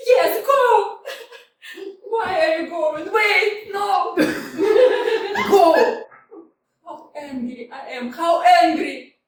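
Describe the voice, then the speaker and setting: An actress playing a proud, contrary young widow in a staged comedy reading, laughing often and flipping between scorn and pleading. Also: female voice, extremely high pitch, expressive, extremely slow, slight background noise, full character voice. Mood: torn. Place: community theater stage. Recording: echoey hall, mic from far off.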